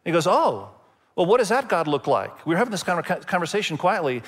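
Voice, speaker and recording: Higher-voiced male pastor in a reverberant hall. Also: very animated, fairly bright tone. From Indonesia. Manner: conversational storytelling, emphatic pauses. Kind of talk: sermon. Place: church sanctuary.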